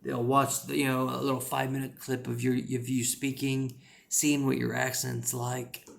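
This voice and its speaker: A man's voice: mid-range voice, little pitch movement, normal speed, gravelly. A man with a rural American accent giving casual, rambling advice as an English teacher, with lots of "you know" fillers.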